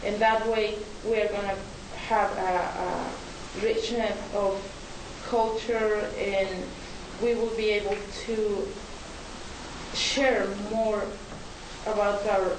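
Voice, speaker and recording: A woman's voice: medium pitch, fairly even intonation, slow pace. A woman from Mexico with a Mexican Spanish accent gives an earnest, hesitant forum presentation with many restarts. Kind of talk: public talk at a forum. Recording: echoey hall, distant mic, hiss.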